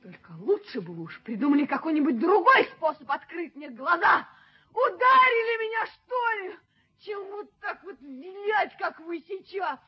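A very high, theatrical young woman's voice plays a proud, hot-tempered fiancée in a 1970s radio play. She is imperious and easily wounded. The recording is muffled and lo-fi. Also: big pitch swings, normal speed. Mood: furious, tearful. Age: young adult. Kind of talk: Soviet radio play acting.

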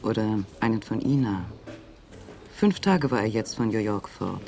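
A man narrating a crime radio play in a calm, measured way. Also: on the low side, vocal fry. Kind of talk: scripted literary narration.

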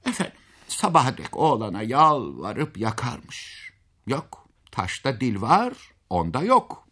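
Male storyteller for folk tales: husky, highly animated pitch, dramatic and folksy, chatting to the listener. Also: slow pace. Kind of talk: expressive oral fairy-tale narration.

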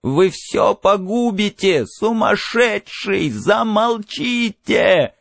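An anxious old mentor in an audiobook, acted out by a man, speaking high and urgently. He pleads and gives orders in a swooping voice, with music behind him. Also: lively intonation, very slow, vocal fry, a bit bright, dry recording, exaggerated voice. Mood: alarmed warning.

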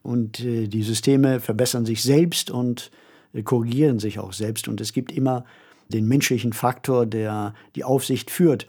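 An older man with a husky voice, co-hosting a podcast with reflective explanations. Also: mid-range voice, fairly even intonation, moderate pace, a little mellow. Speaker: podcast co-host.

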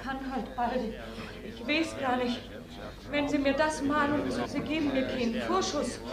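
A woman's voice: high-pitched, steady tone, a little slow, gravelly. An actress in a German radio play playing a poor, humble weaver woman who pleads plaintively and desperately. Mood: despairing. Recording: echoey room with crowd behind, vintage radio drama.

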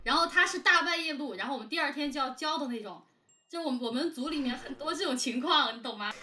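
A woman's voice: high voice, lively intonation, quick pace, pushed and bright. A woman hosting casual group banter in a playful, teasing manner. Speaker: host and audio drama director.

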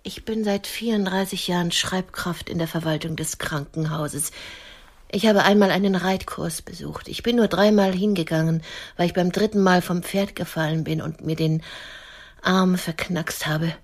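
A woman's voice: on the low side, fairly even intonation, normal speed. A woman acting in a radio drama as a lonely older typist, haughty and cutting, yet romantic. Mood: frank. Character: lonely middle-aged female typist, haughty, sharp-tongued, romantic. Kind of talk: intimate radio drama dialogue.

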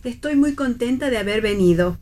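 A woman's voice: a little high, expressive, a little fast, warm tone, natural voice. An Argentine woman playing an anxious, conciliatory middle-aged mother who rambles politely in a theatrical radio play reading. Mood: hesitant, polite.

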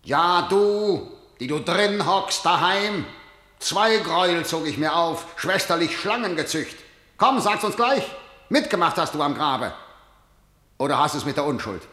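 A harsh tyrannical king, declamatory, contemptuous, slightly high voice, reverberant studio. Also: animated, measured pace, bright, forceful tone. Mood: commanding. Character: harsh tyrannical male king, older, scornful. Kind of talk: classic stage radio-play acting.

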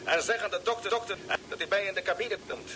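An actor playing a spaceship commander giving businesslike orders in an old radio space play. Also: male voice, sits high, fast, lightly acted. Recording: hissy radio intercom, noisy old recording.

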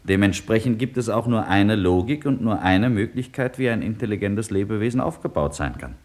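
An actor playing a philosophical scientist who lectures and argues with assurance in a 1950s radio play. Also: male voice, on the low side, animated, brisk, darkish tone, close to an everyday voice. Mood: assured, reasoning.

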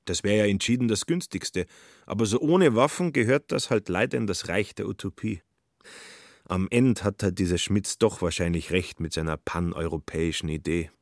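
A man's voice: lowish voice, moderate pace, no room sound, studio quality. A man narrating a literary radio drama.

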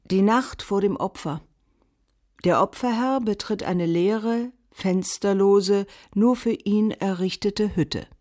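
A woman with a standard German accent narrates a scripted literary radio piece in a solemn, deliberate manner. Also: mid-range voice, a little mellow, close to an everyday voice.

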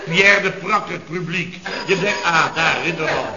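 An actor playing an exasperated boss of a contracting firm, grumbling and ranting sarcastically in an old radio comedy. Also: male voice, on the high side, normal speed, heavy background noise, natural voice. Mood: incredulous. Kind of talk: 1970s Dutch radio comedy acting. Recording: dull archive radio recording, echoey, audience laughter.

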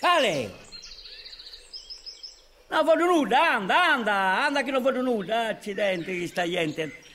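A man's voice: very high-pitched, animated, unhurried, bright, forceful tone. A man talking colloquially in an agitated, exasperated and repetitive way. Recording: outdoor ambience with birdsong. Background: faint outdoor noise and birds.

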